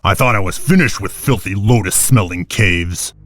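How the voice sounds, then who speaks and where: An actor playing a wary, sardonic wolf clan companion in a video game cutscene. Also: male voice, lowish voice, normal speed, a bit bright, no echo, soft background music. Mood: wary, grumbling.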